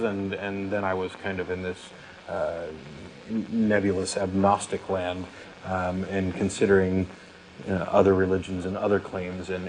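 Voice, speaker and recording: A man, in his thirties or older, talks conversationally as a show host. His voice sits fairly low, and there is clear noise in the recording. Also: a little mellow, rough recording. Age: 30s or older. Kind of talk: conversational talk.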